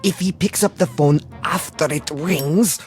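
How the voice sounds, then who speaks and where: A man's voice: a little high, measured pace, faint music behind, lightly acted. An actor playing an eager, anxious young henchman in a vintage television drama, asking terse, urgent questions. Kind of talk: 1960s television drama acting.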